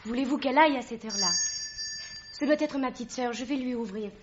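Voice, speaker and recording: An actress playing a young daughter who gives quiet, hesitant answers. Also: female voice, a little high, fast talker, background noise, lightly acted. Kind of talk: radio drama acting. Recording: dull lo-fi sound.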